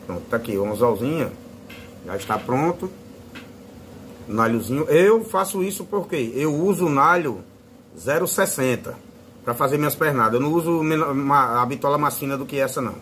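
A man's voice: medium pitch, animated, moderate pace, fairly bright tone, slight background noise. A man with a Northeastern Brazilian accent giving a casual, informal hands-on tutorial as a fishing channel host. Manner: informal, fond of diminutives.

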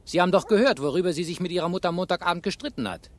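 An actor playing a shrewd, sardonic police inspector who probes and gives orders in a German radio crime play. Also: male voice, sits high, animated, racing. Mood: sardonic, pressing. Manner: probing, sardonic, commanding.

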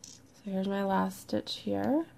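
A woman with a croaky, congested voice leads a step-by-step craft tutorial in a relaxed, chatty way, sometimes dropping to a near-whisper as she counts stitches. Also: medium pitch, takes it slowly, a little hiss or hum. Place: indoors at a worktable, overhead camera on hands.

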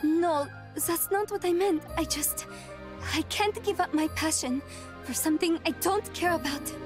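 Game role: proud young would-be knight, very high female voice, earnest and formal, over music. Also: a little slow. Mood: apologetic. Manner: earnest, formal, stately phrasing. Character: proud, formal young woman soldier devoted to chivalry. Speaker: video game character. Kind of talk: English game voice acting.

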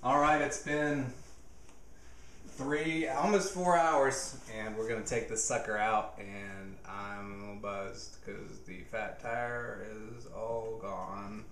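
A man in his thirties hosting a jokey home cooking video in his kitchen, rambling with deadpan asides, recorded on a nearby camera mic. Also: fairly low pitch, takes it slowly, reverberant, background noise. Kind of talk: jokey mock cooking show. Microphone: camera mic, handheld or nearby.

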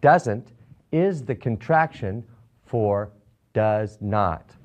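An American man in his forties teaching English in a deliberate, enunciated way with simple scripted sentences. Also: fairly low pitch, lively intonation, muffled recording. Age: 40s. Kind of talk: slow scripted language lesson with simple modelled sentences. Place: small classroom set, indoors.

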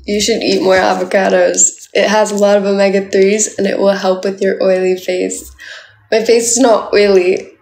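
A woman's voice: mid-range voice, level tone, normal speed, darkish tone, echoey room. A young woman from Canada chatting playfully with viewers on a livestream, full of "like" fillers, filmed on a phone held at arm's length indoors. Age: young adult. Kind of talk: casual live chat with viewers. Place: indoors, small room.

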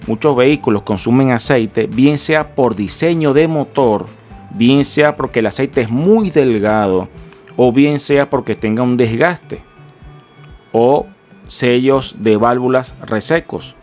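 A man's voice: mid-range voice, unhurried, faint music behind. A middle-aged Venezuelan man, a mechanic, giving an earnest, emphatic car-care lesson in a Venezuelan Spanish accent and urging viewers directly. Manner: earnest, emphatic, repeatedly addressing viewers as gentlemen. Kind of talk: instructional workshop talk. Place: small home room, indoors. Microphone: headset.

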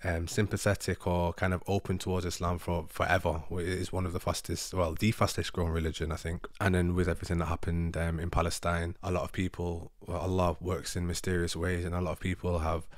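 A man's voice: low voice, flat delivery, brisk, hardly any pauses, dry recording, clean studio sound. A man in his thirties, a native Urdu speaker, chatting casually and reflectively to camera in a rambling, self-correcting way. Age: 30s. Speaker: vlogger. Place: living room sofa at home. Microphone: handheld microphone held close.

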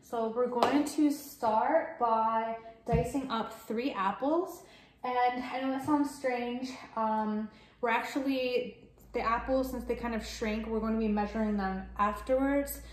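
A woman's voice: fairly high pitch, steady tone, a little slow. A woman in her thirties chatting upbeat through a step-by-step cooking demo in her home kitchen, talking to a camera set at table distance. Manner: chatty and upbeat, explaining as she works. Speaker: cooking vlogger. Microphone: fixed camera at table distance. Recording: echoey kitchen with faint noise.

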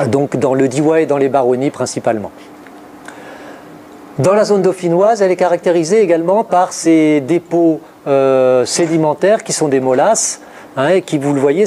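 A man's voice: fairly high pitch, mellow, a little hiss or hum. A middle-aged man giving a geology lecture with slides, listing place names and correcting himself as he goes. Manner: lists place names steadily, frequent fillers and self-corrections. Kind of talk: university-style lecture with slides. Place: large lecture hall with blackboards, indoors. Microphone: room mic from a distant camera.

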